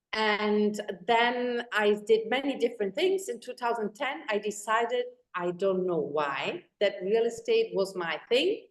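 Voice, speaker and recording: An Italian woman with a German-tinged accent, a real estate executive answering interview questions in a composed, businesslike way. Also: on the high side. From Italy. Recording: echoey room.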